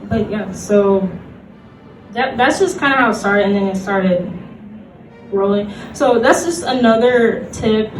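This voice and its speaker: A woman's voice: medium pitch, a little slow, slight background noise. A young woman talking casually as a convention panelist, in a chatty, rambling way. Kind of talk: casual informal panel talk. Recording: echoey room, distant mic, faint music.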